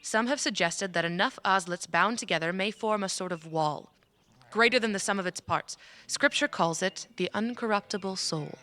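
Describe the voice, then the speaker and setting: Woman playing a measured, scholarly fantasy mage. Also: mid-range voice, sharp tone, close to an everyday voice. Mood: patient, serious. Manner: measured, formal, instructive. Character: scholarly female mage patiently teaching magic. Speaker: voice actor. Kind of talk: fantasy audio drama acting.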